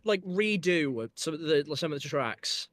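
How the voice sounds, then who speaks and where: A man's voice: a little high, lively intonation, a little slow, fairly bright tone, no echo, clean studio sound. A young man with a Yorkshire accent talks about his own music in a rambling, casual way, full of fillers and restarts. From Northern England. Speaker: musician guest discussing his album. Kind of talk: casual chat.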